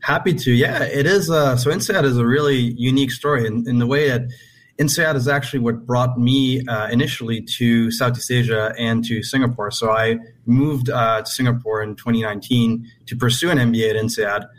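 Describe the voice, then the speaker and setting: A man's voice: medium pitch, fairly even intonation, brisk. A man speaking conversationally as a guest investor in an interview.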